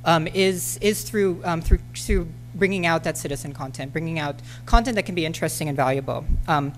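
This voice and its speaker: Low-voiced woman, halting panel talk. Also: faint music behind. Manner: hesitant, many ums and restarts. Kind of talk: conference talk with slides. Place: lecture hall. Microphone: podium microphone.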